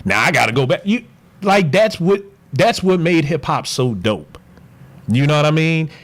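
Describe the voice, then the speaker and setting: A man's voice: a little high, normal speed. A man talking passionately and bluntly as a podcast guest, ranting in a casual, slangy way. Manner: passionate, animated, opinionated rants.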